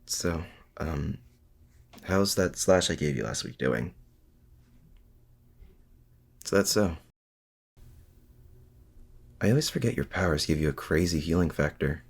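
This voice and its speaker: A man's voice: extremely low pitch, animated, gravelly, slightly rough, exaggerated voice. A man acting in an intimate audio roleplay as a lonely, awkward supervillain and mad scientist, speaking in a hesitant, sincere way. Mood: awkwardly chatty. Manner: hesitant, halting, softly sincere.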